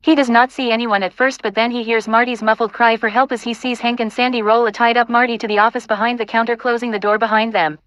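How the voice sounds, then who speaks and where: A woman's voice: on the high side, level tone, fast, few pauses, a bit bright, dry recording, low-quality recording. A woman reading scene directions as the scripted narrator of an audio play.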